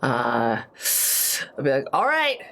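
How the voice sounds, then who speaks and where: A woman playing a tabletop roleplaying game, chatting casually and playfully thinking her moves out loud. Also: very deep voice, huge pitch swings, slow.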